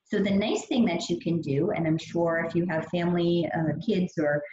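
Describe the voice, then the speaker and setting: A woman's voice: on the low side, normal speed. A young adult woman fitness trainer giving an upbeat, chatty meal prep talk over slides on a video call. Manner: upbeat, chatty, many fillers. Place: gym or studio room. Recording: echoey, dull lo-fi audio with faint hiss.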